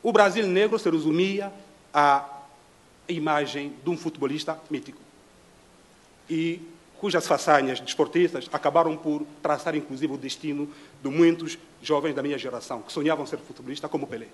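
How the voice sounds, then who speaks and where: A middle-aged man from Congo speaks at a panel table in a dim hall. His voice sits a little high for a man. He talks in a measured, reflective way, pausing often to find his words. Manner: measured, with frequent hesitations. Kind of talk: formal reflective talk.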